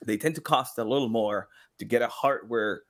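A man in his thirties chatting casually as a podcast guest, telling anecdotes in an expressive, joking way. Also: mid-range voice, normal speed. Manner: joking, expressive, hands-on storyteller. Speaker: podcast guest, game sound designer.

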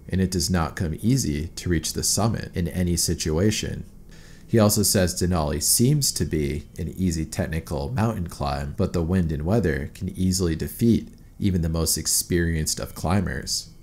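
A man narrating a true mountaineering story in a steady, scripted documentary read. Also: sits low, a little hiss or hum.

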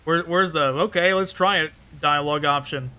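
A man hosts a gaming video with casual, thinking-aloud commentary. His voice is fairly high, bright and clean. He sounds chatty and wry as he plays. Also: moderate pace, clean tone.

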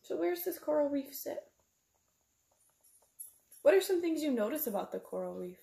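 A woman's voice: high voice, big pitch swings, moderate pace, creaky, warm tone. A woman, reading a picture book aloud like a teacher, explaining simply to young children and asking them questions. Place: small room at home, indoors.

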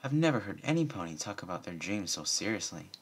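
A man's voice: low-pitched, very animated, fast, a little hiss or hum. A man voicing a sensible, skeptical female pony with a practical, chiding manner, for a story reading.

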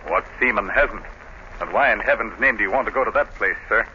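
An actor playing a wary, deferential, superstitious ship's captain in 1930s radio drama style. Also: male voice, lowish voice, fairly quick, natural voice. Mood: uneasy, warning. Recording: dull, noisy 1930s radio recording.

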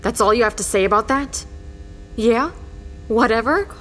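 A woman's voice: fairly high pitch, normal speed, a bit bright, close to an everyday voice. An actress playing a tough, stern female homicide detective in an audio drama, blunt and sarcastic. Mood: stern, scornful. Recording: long reverb, faint hum.

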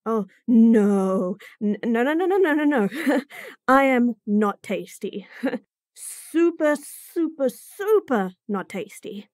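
A woman's voice: big pitch swings, slow pace, a little mellow, no echo, studio quality. Woman voicing a stammering flustered bunny girl. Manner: stammering, squeaky, nervous giggles. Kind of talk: roleplay audio drama.

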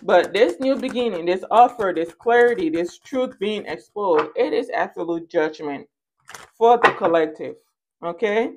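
A woman's voice: medium pitch, a little slow, background noise. A woman hosting a casual tarot reading, talking in a playful storytelling way with slangy endearments. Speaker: tarot reader and channel host. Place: indoors at a card table.

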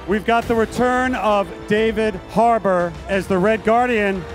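Male stage presenter, middle-aged, high voice, live announcement style over music. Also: steady tone, unhurried. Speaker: presenter at a fan convention. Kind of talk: stage announcements introducing guests. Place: large convention hall stage. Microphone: handheld microphone.

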